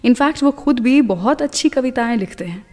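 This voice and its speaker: A woman's voice: fairly high pitch, animated, normal speed, darkish tone, in a large hall, faint music behind. A woman hosting a radio show, telling stories in a chatty narration that slips English words in.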